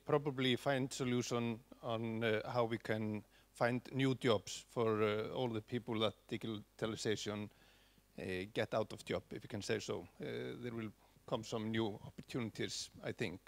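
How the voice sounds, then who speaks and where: Halting man with a gravelly voice. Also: mid-range voice. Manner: halting, many restarts and fillers. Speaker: conference audience member. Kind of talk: spontaneous comment from the floor.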